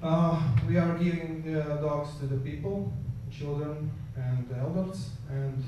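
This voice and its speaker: Halting Croatian man in an echoey hall. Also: a little high, fairly even intonation, highs cut off, noisy background. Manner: halting, many fillers and restarts. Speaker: conference presenter. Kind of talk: conference presentation. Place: conference hall. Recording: echoey hall, voice far from mic.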